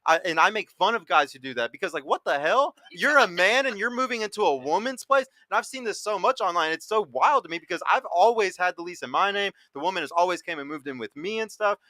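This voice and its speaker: A man in his thirties holds forth on a dating podcast, quick and emphatic and not shy about swearing. His voice is bright and forward. The sound is close and dry. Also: high voice, lively intonation, no echo. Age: 30s. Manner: emphatic, blunt, swears freely. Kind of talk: casual panel talk.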